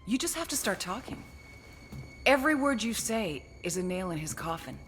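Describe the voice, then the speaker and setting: An actress playing a pragmatic American intelligence agent, speaking in a controlled, matter-of-fact way in a game cutscene. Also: female voice, medium pitch, very animated, moderate pace, fairly bright tone, faint music behind. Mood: firm, persuasive. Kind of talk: scripted game briefing and dialogue.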